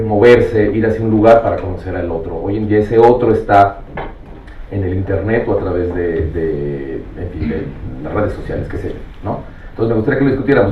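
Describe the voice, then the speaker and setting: A man moderating a round-table discussion off the cuff, haltingly circling back to rephrase as he goes. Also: fairly low pitch. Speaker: panel moderator. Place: roomy panel venue. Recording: echoey, distant mic, dull, faintly noisy.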